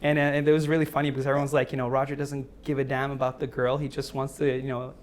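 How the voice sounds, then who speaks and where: A man's voice: mid-range voice, quick pace, a little hiss or hum. A man hosting an interview, asking rambling questions and laughing often. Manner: giggly, excitable, stumbling questions. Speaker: interview host. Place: conference venue.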